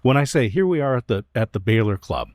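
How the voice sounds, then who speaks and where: A man's voice: mid-range voice, animated, fast, a little mellow, dry recording, studio quality. Thoughtful male interview host. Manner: thoughtful, leads the questions. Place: stadium club room.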